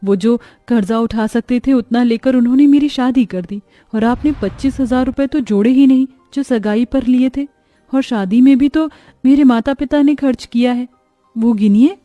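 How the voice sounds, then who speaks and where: A woman's voice: on the high side, moderate pace, dark tone, no room sound, highs cut off, faint music behind, natural voice. A woman voicing a dutiful, caring young daughter-in-law who speaks respectfully and pleadingly in a family story. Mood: earnest pleading.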